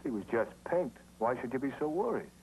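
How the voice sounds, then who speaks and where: A man's voice: medium pitch, animated, brisk, darkish tone, slight background noise, close to an everyday voice, poor audio. Cocky, swooping American tough guy played by an actor. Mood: offhand. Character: cocky, defensive tough-guy sailor brother. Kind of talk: 1950s American TV crime drama acting. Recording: old TV soundtrack with music.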